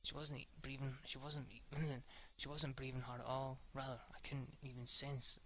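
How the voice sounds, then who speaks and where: Young male amateur reader, bright tense tone, stumbles on lines. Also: medium pitch, level tone, normal speed, slight background noise, rough recording. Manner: stumbling over words, restarting lines. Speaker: reader of a visual novel. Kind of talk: amateur read-through narration.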